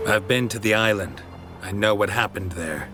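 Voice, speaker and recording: An actor playing a gruff, stoic monster hunter in a video game, speaking in terse, deadpan, gravelly lines. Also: male voice, on the low side, moderate pace, lightly acted. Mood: stoic, blunt. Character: gruff, stoic male monster hunter, middle-aged, wary and blunt. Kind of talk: video game dialogue acting. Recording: loud game music behind.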